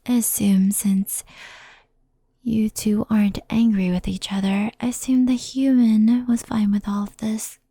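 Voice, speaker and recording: A woman voicing a stern, composed fox-spirit in an ASMR roleplay, speaking softly in a measured, formal, admonishing way. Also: mid-range voice, fairly even intonation, no room sound. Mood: calm, explaining. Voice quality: breathy, soft. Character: stern, composed female fox-spirit yokai, cautious and scolding.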